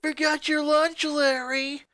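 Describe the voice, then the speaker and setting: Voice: high-pitched, level tone, takes it slowly, pushed and bright, dry recording, pitched above the performer's own voice, full character voice. A performer voicing a mocking schoolboy bully in a story reading. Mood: mocking, shouting. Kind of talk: acted fan fiction reading.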